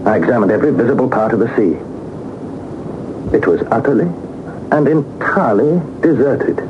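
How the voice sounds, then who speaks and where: An actor playing an earnest, inquisitive young nephew, speaking in an articulate, formal storytelling manner in a 1960s radio drama. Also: male voice, medium pitch, expressive, normal speed, natural voice. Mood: reflective, wondering. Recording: muffled, noisy old radio broadcast.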